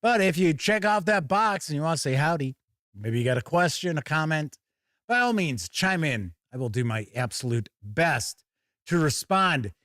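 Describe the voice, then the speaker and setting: A man hosting a casual live tabletop gaming stream, a little raspy and hoarse from being under the weather, talking in a folksy, upbeat way. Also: on the high side, lively intonation, normal speed, fairly bright tone, no room sound, studio quality. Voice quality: slightly raspy. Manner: upbeat, folksy greetings, chatty. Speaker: host. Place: home studio.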